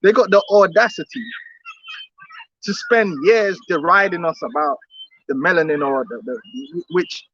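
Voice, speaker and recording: A man's voice: high voice, big pitch swings, measured pace, fairly bright tone, no room sound, lo-fi sound. A man preaching outdoors on the street, emphatic and repetitive, often laughing between his points.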